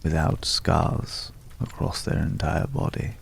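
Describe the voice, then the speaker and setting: A man performs a world-weary former monster hunter with a deep voice full of heavy gravel. He is suave and courteous, talking slowly and intimately as in an ASMR roleplay. A faint bed of ambient noise sits behind him. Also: full character voice. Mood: intrigued, wistful. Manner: measured, hushed, hesitant pauses, suavely polite.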